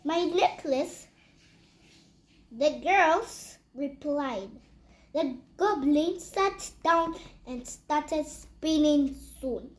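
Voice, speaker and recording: A high, childlike voice reads a fairy tale aloud for children. The delivery is very slow and halting, with words repeated and corrected along the way. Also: expressive. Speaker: storyteller reading aloud.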